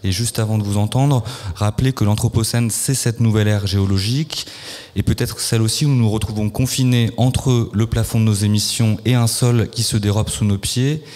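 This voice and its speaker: A low-voiced man hosting a live radio interview, formal and measured, pausing on the odd filler. His voice rings out with a long hall reverb. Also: steady tone, a little mellow. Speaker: radio host. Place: festival venue, live broadcast.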